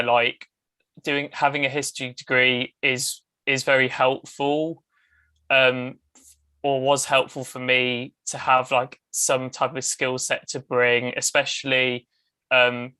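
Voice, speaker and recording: A man's voice: medium pitch, pushed and bright, smooth, no echo. A young man chatting casually on a panel, leaning heavily on "like" and "um". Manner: casual, heavy use of "like" and "um". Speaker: alumnus of a documentary training scheme.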